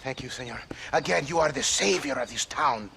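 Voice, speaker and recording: An actor playing an excitable, pleading townsman with a Mexican accent in a Western video game. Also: medium pitch, a little fast, creaky, background noise, natural voice. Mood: grateful. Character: frantic, grateful Mexican townsman seeking help. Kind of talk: game cutscene acting, Western drama.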